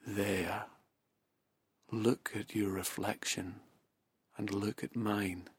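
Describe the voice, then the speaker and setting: A man voicing a stern adult male tiger who growls insistently with a husky voice. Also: on the low side, little pitch movement, very slow, dry recording, heavily acted.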